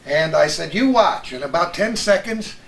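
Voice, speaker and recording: Older American male host, high voice, anecdotal and chatty, slightly noisy recording. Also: lively intonation, normal speed, gravelly, a bit bright. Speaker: host, veteran radio broadcaster. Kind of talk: reminiscing, anecdotal chat with old colleagues. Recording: roomy echo with some background noise.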